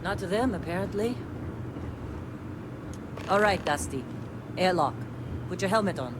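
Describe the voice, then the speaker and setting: An actress playing a seasoned, no-nonsense mining crew boss in a video game, dry and matter-of-fact. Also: female voice, on the low side, lively intonation, measured pace. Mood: brisk, commanding. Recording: very loud machinery and vehicle noise.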